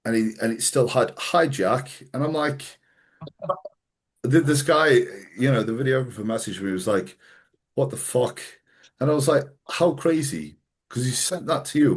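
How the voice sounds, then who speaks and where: A man hosting a casual podcast chat, swearing freely and telling animated stories with quoted voices. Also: medium pitch, husky. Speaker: podcast host and coach.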